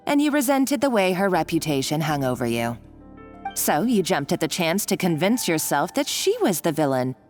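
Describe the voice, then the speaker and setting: A woman's voice: deep voice, a little mellow. A woman playing a domineering, gloating female villain in a video game, speaking with taunting condescension. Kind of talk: anime-style video game acting.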